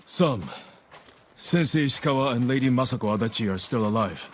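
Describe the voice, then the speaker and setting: A man's voice: mid-range voice, expressive, brisk, a little hiss or hum, close to an everyday voice. A man acting an earnest, resolute young samurai in a video game cinematic, serious and restrained in the way he talks. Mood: restrained resolve.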